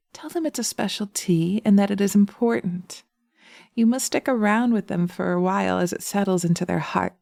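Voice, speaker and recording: A woman voicing a sweet, dreamy romantic witch in a roleplay audio drama, cooing gently and dotingly. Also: medium pitch, creaky, no echo, clean studio sound.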